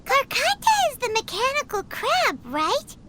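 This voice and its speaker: A woman voicing a small, childlike, excitable fairy companion in a video game, squeaky and curious. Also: a little slow, a little hiss or hum, full character voice. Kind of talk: video game character acting.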